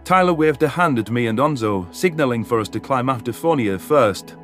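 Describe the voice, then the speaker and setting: A man narrates a story in the first person from a script. His voice sits at a medium pitch. Music plays clearly behind him. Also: lively intonation, a little fast. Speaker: audiobook narrator.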